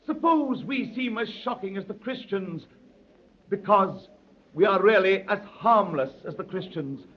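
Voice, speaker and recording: A very high-voiced male anarchist speechmaker, solemn and declamatory, addressing his secret brotherhood in grand rhetorical phrases. It is 1930s radio drama, with muffled vintage sound. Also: lively intonation, measured pace. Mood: pleading, fervent.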